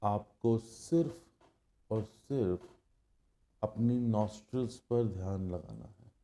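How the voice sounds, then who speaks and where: A man with an Indian accent guides a meditation in a very dark, soft-edged voice pitched on the low side. He goes extremely slowly and leaves long silences between short phrases. His instruction stays calm, hushed and measured.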